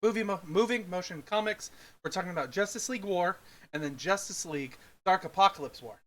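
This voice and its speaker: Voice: mid-range voice, brisk. A podcast host chatting casually about films on a livestream, in a teasing, bossy way.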